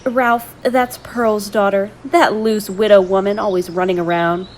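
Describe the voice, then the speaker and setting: A narrator voicing a shrill, bossy middle-aged woman with a high, grating voice who scolds and accuses. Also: steady tone, a little slow, slight background noise, lightly acted. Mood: scolding.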